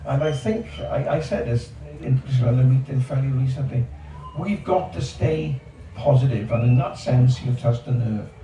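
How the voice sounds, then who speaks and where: A man's voice: mid-range voice, lively intonation, moderate pace, warm tone. An older man on a discussion panel, speaking emphatically and repeating words for stress. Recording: echoey outdoor space from far off, background noise.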